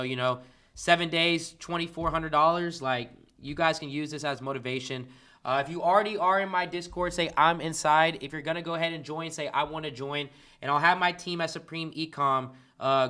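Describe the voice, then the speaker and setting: Man, livestream coach, bright crisp voice, upbeat greetings to chat. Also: fairly high pitch. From Kansas City, Missouri, US. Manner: hypes up and greets chat viewers by name. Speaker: dropshipping coach hosting a livestream. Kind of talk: casual livestream Q and A.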